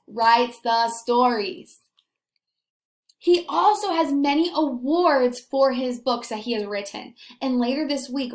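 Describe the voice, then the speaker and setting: Female early-grades teacher, higher-pitched and unhurried, expressive questioning style, reverberant room. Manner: expressive, animated questions with pauses for young children. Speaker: elementary school teacher. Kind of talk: children's read-aloud lesson to camera. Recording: echoey room.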